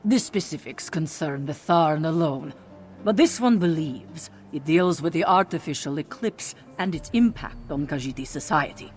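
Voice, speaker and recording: A female cat-folk envoy from a fantasy video game. She is formal and dutiful, with measured phrasing and expressive movement in her pitch, over faint music. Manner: formal, refers to herself in third person. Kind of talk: video game character dialogue.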